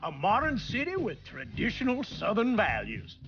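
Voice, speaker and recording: An actor playing a cheerful, salesy tourism announcer in a 1960s commercial, over music in a dated recording. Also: male voice, sits high, wildly swooping pitch, normal speed, vocal fry, exaggerated voice. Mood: upbeat, selling. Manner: upbeat, salesy. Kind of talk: 1960s promotional advert narration. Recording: dull lo-fi vintage advert sound. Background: prominent music.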